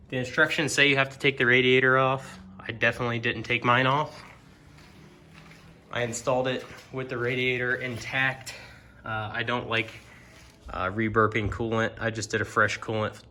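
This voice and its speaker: A man giving a casual hands-on product walkthrough in a plain-spoken, somewhat hesitant way. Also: medium pitch. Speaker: amateur motorcycle reviewer. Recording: clear steady background noise.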